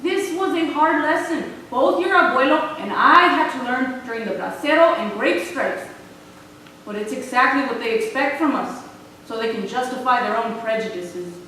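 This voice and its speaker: A woman playing a wise, steadfast Mexican American grandmother in a staged reading, speaking in measured, earnest English mixed with Spanish. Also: high voice, bright, forceful tone. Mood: consoling, steadfast. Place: theater stage, recorded from the audience. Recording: echoey hall from far off, faint noise.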